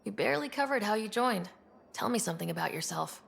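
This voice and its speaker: A woman playing a thoughtful, composed space expedition leader in a video game, speaking in a measured, conversational, gently probing way. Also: mid-range voice, animated, creaky. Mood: curious. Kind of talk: video game cutscene dialogue.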